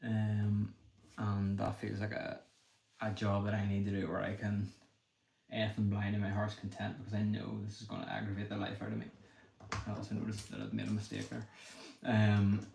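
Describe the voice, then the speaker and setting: A young man with a Northern Irish accent, thinking aloud quickly and apologetically as he chats with a livestream audience. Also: on the low side, creaky, a little mellow. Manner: talks quickly. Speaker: livestreamer building a brick set. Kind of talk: casual livestream chat while building. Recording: echoey room with faint noise.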